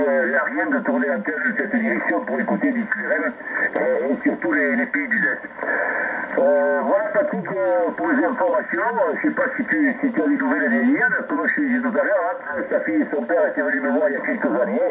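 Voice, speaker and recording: A man's voice: high-pitched, vocal fry. A man from France chatting casually over amateur radio, rambling with many fillers and restarts. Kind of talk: casual radio contact chat. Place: home radio station. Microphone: received over a radio transceiver. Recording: lo-fi radio reception with loud noise.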